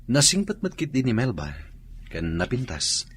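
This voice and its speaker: A male actor with a Filipino accent playing an earnest young soldier and sympathetic friend in a radio drama, speaking in a measured, expressive way. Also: medium pitch, slightly rough, full character voice. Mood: gently teasing.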